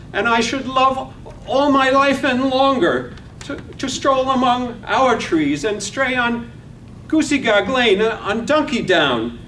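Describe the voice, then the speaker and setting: Man playing a high-voiced old preacher-bard. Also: a bit bright, exaggerated voice. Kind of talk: verse recitation in a stage-read radio play. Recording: distant mic, faint rumble.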